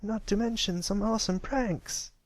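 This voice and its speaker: A man's voice: sits high, steady tone, normal speed, no echo, pitched above the performer's own voice, natural voice. A man voicing a brash, boastful young tomboy filly pony in a storybook reading, punchy and easily indignant. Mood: mischievous.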